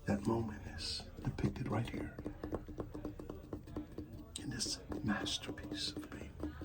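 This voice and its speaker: A man speaking softly in an ASMR roleplay as an art gallery dealer, pausing mid-phrase and often slipping into a whisper. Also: on the low side, far from the mic, poor audio. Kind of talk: soft-spoken ASMR roleplay, partly whispered.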